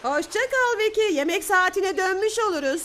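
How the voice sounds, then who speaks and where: A woman voicing a gentle, protective mother figure in a cartoon, tender and expressive. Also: very high voice, normal speed, background noise. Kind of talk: animated cartoon dubbing. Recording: music behind.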